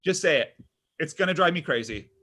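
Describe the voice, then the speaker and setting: An actor as a needy, high-pitched whiny man. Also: expressive, fairly quick, bright, forceful tone. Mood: exasperated. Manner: exasperated, melodramatic, argumentative. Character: needy, whiny, self-dramatizing thirty-four-year-old son. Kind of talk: comedy script table read.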